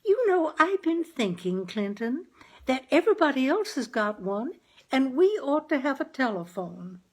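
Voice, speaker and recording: A gentle, wheedling mother from a storybook, acted by a woman reader, pitched high and lilting. The talk is chatty, folksy and persistently coaxing. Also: very animated, moderate pace.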